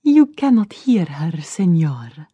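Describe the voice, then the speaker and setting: A mischievous female spider from a children's storybook, as a woman narrator puts her on, teasing and curious. She speaks slowly in a mellow tone, slyly dropping in Spanish words. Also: on the low side, big pitch swings, breathy edge, dry recording, exaggerated voice. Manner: sly, drops in Spanish words.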